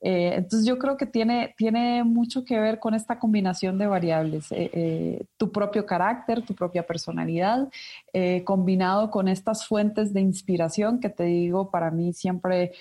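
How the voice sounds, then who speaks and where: A woman from Ecuador speaks as an academic guest in an interview, at a medium pitch. She answers reflectively and often pauses with fillers and restarts. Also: fairly even intonation, dark tone. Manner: thoughtful, many fillers and restarts. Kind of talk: interview conversation.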